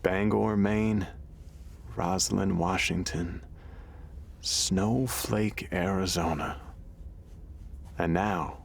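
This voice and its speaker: An actor playing an easygoing middle-aged drifter in an audio drama, gravelly and folksy, with a philosophical bent. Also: male voice, deep voice, fairly even intonation, drawn-out words, pauses often, full character voice. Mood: musing, easygoing. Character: gravelly middle-aged male drifter and late-night radio host, easygoing.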